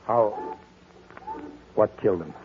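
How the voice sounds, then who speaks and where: An actor playing a troubled, defensive sailor in an old-time radio drama, plainspoken and edgy. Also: male voice, on the low side, slow. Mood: worried. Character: troubled, defensive male sailor, a murder suspect. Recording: noisy, muffled old radio recording.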